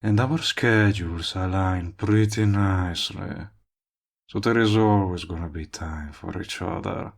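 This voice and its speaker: A man's voice: sits low, a little slow, vocal fry, exaggerated voice. A man playing a cocky, lovestruck villain in an audio roleplay, teasing and coaxing the listener he is wooing. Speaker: voice actor. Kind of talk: intimate audio roleplay acting addressed to the listener.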